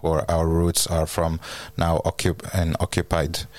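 A man from Finland talks slowly and haltingly, his voice very low and deep. He fills his pauses with ums and uhs. The sound is dry and close. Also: completely flat delivery, darkish tone, dry recording. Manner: hesitant, halting, many fillers. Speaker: guest. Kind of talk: casual conversation.

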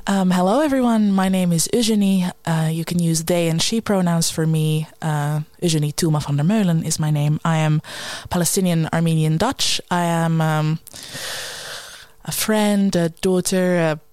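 A woman's voice: fairly low pitch, steady tone, moderate pace, a little mellow, no room sound. Earnest, hesitant woman panel guest. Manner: earnest, hesitant, many fillers. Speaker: panel guest, activist and artist. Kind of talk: conversational panel talk.

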